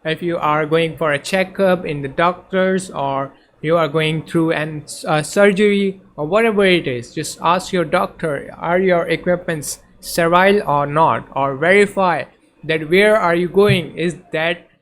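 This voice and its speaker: A man's voice: fairly high pitch, measured pace, a bit bright. A man whose first language is Urdu, teaching in a casual lecture over slides, hesitant, with many fillers and restarts. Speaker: tutor.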